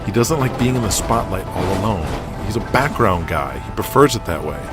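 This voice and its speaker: Man playing a griping young ring-crew guy, low-voiced. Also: lively intonation, brisk, over loud music, close to an everyday voice. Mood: frustrated, questioning. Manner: griping, casual. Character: frustrated, outspoken young nephew on wrestling ring crew. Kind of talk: audio drama dialogue.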